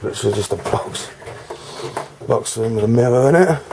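A man's voice: normal speed, vocal fry. A man casually narrating as he explores an abandoned care home, bluntly swearing and commenting on what he finds. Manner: blunt, swears, low-key remarks. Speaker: urban explorer host. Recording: loud background noise.

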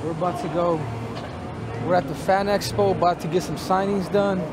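A man's voice: sits high, steady tone, normal speed. A man casually joking to the camera at the start of his day. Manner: joking, laid-back. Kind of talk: casual vlog intro. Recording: loud street noise and crowd.

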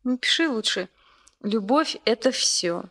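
Earnest romantic young woman, fairly high voice, Soviet radio drama. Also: expressive, a little slow, a bit bright. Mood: dreamy, eager. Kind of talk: Soviet radio play acting.

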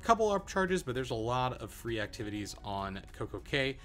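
A young man in a relaxed, chatty travel review, talking casually with frequent "uh" and "um" fillers. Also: medium pitch, moderate pace, soft background music. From Arizona, USA. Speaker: travel vlogger.

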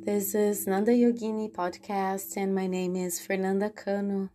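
A woman hosting a guided relaxation practice, speaking in a gentle, unhurried way with long pauses. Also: medium pitch, flat delivery. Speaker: podcast host and guided relaxation teacher. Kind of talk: guided relaxation, slow reflective narration. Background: soft plucked guitar music.

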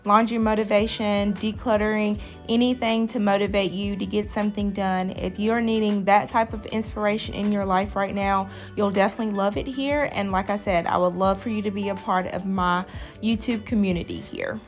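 A woman's voice: mid-range voice, fairly even intonation, normal speed. Casual woman vlogger over music. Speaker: vlogger, host of a cleaning channel.

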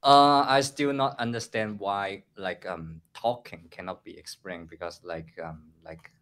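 A man's voice: fairly low pitch, steady tone, measured pace, a bit bright. A man, a Cantonese-speaking student, answering hesitantly with many fillers on a video call.

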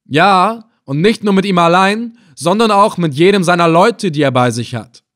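Guy acting a fearless prisoner hero in an adventure audiobook, cocky and emphatic, pitched up, taunting his captors. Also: animated, moderate pace, natural voice. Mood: defiant, warning. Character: defiant, fearless captive adventurer, a proud cool-headed man. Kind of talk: dramatized audiobook adventure reading.

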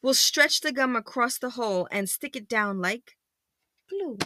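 A woman voicing an excitable, eager werewolf who rallies friends in a children's storybook. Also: on the high side, unhurried, no echo, clean studio sound, voice pushed up. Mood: excited, urging. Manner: eager, urging.

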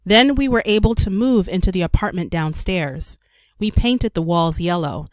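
A woman reading a children's story aloud as a storyteller, with careful, expressive narration for young children. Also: on the low side, normal speed, no room sound. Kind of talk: scripted read-aloud narration.

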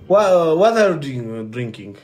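Boastful Ethiopian man, lively high voice. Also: normal speed, a little hiss or hum. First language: Amharic. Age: 20s. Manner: loud, boastful, broken English with many hesitations. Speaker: livestream battle guest. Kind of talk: boisterous livestream banter. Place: indoors, small room. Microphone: phone selfie camera.

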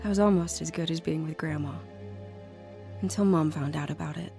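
A grown woman looking back on a lonely childhood. Her voice is on the low side, reflective and measured, in scripted audio drama narration. Music plays faintly behind her. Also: creaky, dark tone. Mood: wistful, reflective. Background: music under the voice.

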